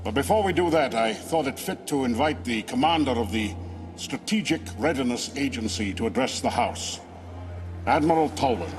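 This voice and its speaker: A man's voice: medium pitch, lively intonation, normal speed, close to an everyday voice. A man playing a stern, dignified senator who presides over an assembly with solemn formal oratory in a film drama. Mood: commanding. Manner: declamatory, solemn. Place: large assembly hall. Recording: film soundtrack with music and crowd.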